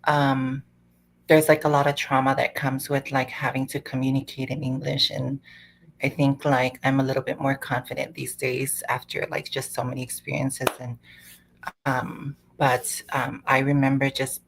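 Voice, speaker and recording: Low gravelly woman. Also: flat delivery, moderate pace, background noise. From Samoa. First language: Samoan. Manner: thoughtful, rambling, many "like" fillers. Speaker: panelist. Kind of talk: panel discussion.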